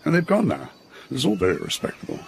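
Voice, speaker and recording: Swooping, genial British colonial official. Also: male voice, mid-range voice, fast, gravelly, a little hiss or hum, exaggerated voice. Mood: genial, obliging. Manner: avuncular, clubby, animated. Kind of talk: radio drama acting.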